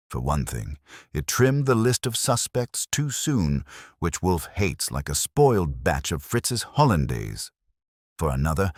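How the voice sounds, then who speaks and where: Very deep male detective narrator. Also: dry recording, studio quality. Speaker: audiobook narrator. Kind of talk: first-person hardboiled detective story narration.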